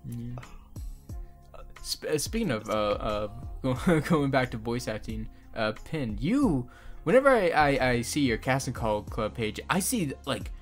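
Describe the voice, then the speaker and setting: A man's voice: medium pitch, big pitch swings, unhurried, faint music behind. A young man chatting casually on a podcast in a laughing, informal manner with some swearing. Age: young adult. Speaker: podcast co-host and hobby voice actor.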